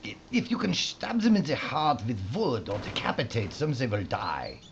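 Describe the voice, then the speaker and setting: An actor playing a captured Nazi camp commander in a horror audio drama, haughty, sardonic and haunted. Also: male voice, medium pitch, wildly swooping pitch, moderate pace, creaky. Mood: grave warning. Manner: sardonic, ominous, theatrical. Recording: muffled lo-fi audio with noise.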